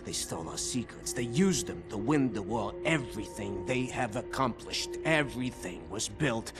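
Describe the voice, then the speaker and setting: An actor playing a learned, proud engineer of a secret society in a video game, speaking solemnly and grandly. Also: male voice, mid-range voice, animated, normal speed, over loud music, close to an everyday voice. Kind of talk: dramatic video game acting.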